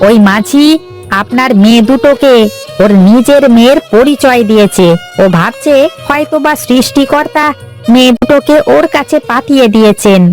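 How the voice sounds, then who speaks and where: A woman voicing a loyal, dutiful servant in an animated moral story, speaking earnestly and with deference. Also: high voice, moderate pace, natural voice. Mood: grave, reporting. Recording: music behind the voice.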